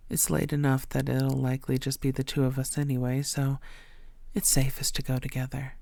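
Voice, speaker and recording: A woman playing an infatuated, predatory female vampire in an intimate audio roleplay, murmuring in a deliberate, theatrical and often breathy way. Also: rumbling low, monotone, warm tone, no echo. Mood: sweet, coaxing.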